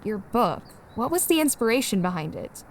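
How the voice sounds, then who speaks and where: A woman's voice: a little high, very animated, normal speed, slight background noise. A woman playing a blunt, nonchalant teenage girl in an amateur comic dub. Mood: nonchalant, curious.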